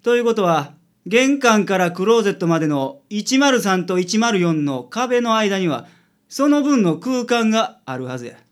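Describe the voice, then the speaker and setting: A man's voice: sits high, big pitch swings, moderate pace. A man playing a confident, shrewd private detective in a radio drama, theatrical, with a Kansai accent. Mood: deducing. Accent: Kansai Japanese.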